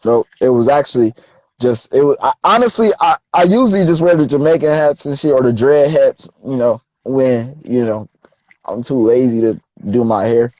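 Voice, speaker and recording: A young man, a rapper being interviewed, telling stories in a relaxed, slangy way. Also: medium pitch, moderate pace, no echo, highs cut off. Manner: laid-back, slangy storytelling. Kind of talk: casual interview chat.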